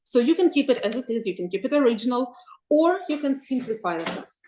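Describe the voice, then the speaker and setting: A woman presents AI tools for teachers in a webinar, thinking aloud with many ums and pauses. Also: on the high side, animated, warm tone, reverberant, a little hiss or hum, poor audio. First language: Russian. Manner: thoughtful, many ums and uhs, asks for next slide. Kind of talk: presenting slides in an online webinar.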